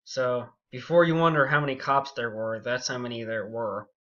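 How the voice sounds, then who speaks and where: A young man telling a story casually and unscripted, rambling and halting, with frequent asides. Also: mid-range voice, a bit bright. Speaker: YouTube storyteller. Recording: dull, muffled lo-fi audio.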